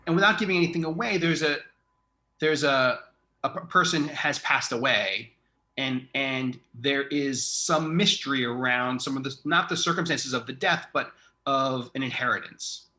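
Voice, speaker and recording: Bright-voiced man hosting a casual interview. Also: medium pitch, normal speed. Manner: effusive, rambling, thinking aloud. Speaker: interviewer, theatre artistic director.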